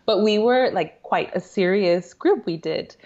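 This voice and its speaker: A woman's voice: medium pitch, expressive, a little slow, darkish tone. A woman guest in casual interview chat, chatty and laughing often. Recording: dull lo-fi remote audio.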